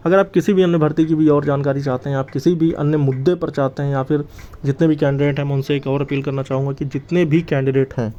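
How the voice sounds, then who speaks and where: Mellow-voiced man explaining, slightly muffled. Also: a little high, steady tone, quick pace. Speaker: exam news channel host. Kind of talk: informal explainer addressed to exam candidates. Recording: slightly dull, lo-fi sound.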